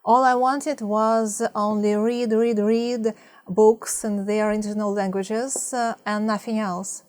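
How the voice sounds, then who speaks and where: A woman from Russia speaks English with a Russian accent, her voice at a middle pitch. She talks softly and haltingly to camera, pausing often on fillers as she searches for words. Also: level tone. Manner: soft-spoken, hesitant, many fillers. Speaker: language-learning vlogger. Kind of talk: partly scripted talk to camera.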